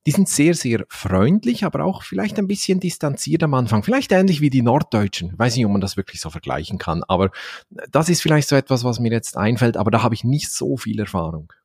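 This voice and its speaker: A man's voice: mid-range voice, very animated, fairly quick, a little mellow, slightly rough, no echo. Swiss man, dry close sound. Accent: Swiss Standard German. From Switzerland, Valais. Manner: thoughtful, frequent "äh" fillers, occasional laugh. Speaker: seminar trainer and speaker. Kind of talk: podcast interview.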